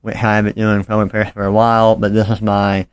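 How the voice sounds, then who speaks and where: A man's voice: lowish voice, monotone, brisk, clean tone, no echo, clean studio sound. A man talking informally and a bit apologetically through a hands-on phone repair tutorial as he works. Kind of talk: casual hands-on how-to narration.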